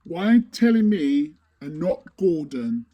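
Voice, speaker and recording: A woman playing a terse, brooding masked vigilante who questions curtly and deliberately in a fan audio drama. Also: deep voice, sing-song, warm tone, muffled recording, exaggerated voice. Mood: curt, determined.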